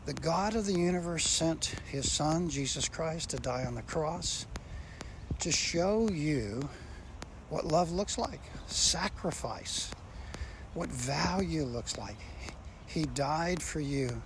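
An older man, a pastor, preaching earnestly and slowly on a walk outdoors, filmed on a phone held at arm's length. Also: on the high side, animated. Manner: slow, earnest preaching with drawn-out phrases. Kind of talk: outdoor devotional walk-and-talk. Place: outdoors in bright sun. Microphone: phone held low at arm's length. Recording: phone recording outdoors with background noise.

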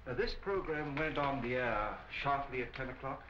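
An actor playing a calm, polite, measured middle-aged detective in a 1940s mystery film. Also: male voice, mid-range voice, a little hiss or hum, natural voice. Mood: politely probing. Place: furnished office film set. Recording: muffled, echoey old film soundtrack.

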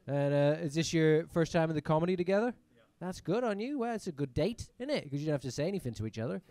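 A man's voice: fairly high pitch, animated, quick pace, warm tone. A young man with a mixed British and American accent doing stand-up crowd work on a comedy club stage, teasing the audience and swearing, speaking into a handheld mic.

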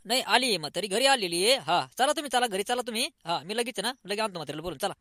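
A man playing an eager, hurried poor villager in a comic cartoon, with a rural Maharashtrian accent and urgent, colloquial speech. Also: high voice, expressive, sharp tone, no room sound. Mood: urgent, excited. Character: eager, hurried poor villager awaiting his housing grant.

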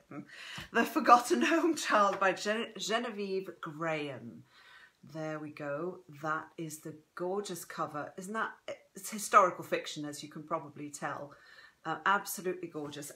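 A woman's voice: lowish voice, bright, forceful tone. A woman in her forties, an author hosting a live reading from home, chatting effusively with a slightly throaty, strained voice and greeting viewers by name. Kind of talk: casual livestream chat. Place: home room, indoors. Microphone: phone propped in front of her.

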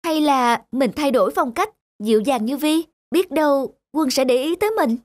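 An actress voicing an earnest young woman in a sentimental animated moral story. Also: sits high, normal speed, no echo. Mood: wistful. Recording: voice over prominent background music.

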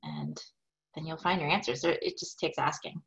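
A woman's voice: low voice, wildly swooping pitch, moderate pace, vocal fry, fairly bright tone. A woman in her forties, a small business owner, answering interview questions over a video call from her home office in an upbeat, thoughtful way with some fillers. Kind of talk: conversational Q&A answers. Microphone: video call, webcam. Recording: dull lo-fi video call audio.

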